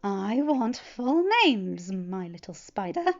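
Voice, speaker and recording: A woman's voice: mid-range voice, animated, slow, gravelly, muffled recording. Woman acting a fierce, hissing female assassin. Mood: menacing. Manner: hissing, emphatic, menacing.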